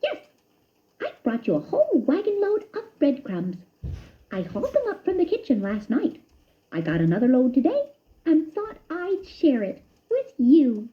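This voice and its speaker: A woman voicing a cheerful, generous young boy mouse with a squeaky voice. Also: huge pitch swings, moderate pace. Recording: dull lo-fi recording.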